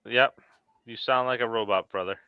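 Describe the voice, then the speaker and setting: A man's voice: mid-range voice, fairly even intonation, moderate pace, clear, resonant tone, no room sound. A man hosting a casual live-stream chat, on a slightly dull-sounding mic. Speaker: show host.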